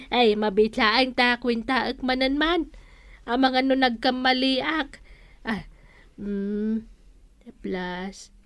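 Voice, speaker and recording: A woman's voice: a little high, very slow, pauses often, fairly bright tone, no echo, heavily acted. A woman playing a sly village storekeeper in a comedic radio drama, with a Northern Philippine accent and a sheepish, muttering way of talking. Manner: mutters sums to herself, chuckles sheepishly. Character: sly village storekeeper councilwoman who pads customers' debts.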